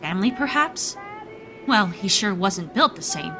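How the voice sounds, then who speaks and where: A woman's voice: mid-range voice, expressive, measured pace, pushed and bright, faint music behind. A woman narrator reading scripted storytelling narration for a radio play.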